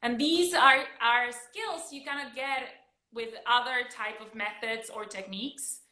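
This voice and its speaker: A woman's voice: fairly high pitch, unhurried, sharp tone. A woman from Argentina with an Argentine accent, a drama teacher co-hosting an upbeat casual chat over a video call. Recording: roomy video call audio.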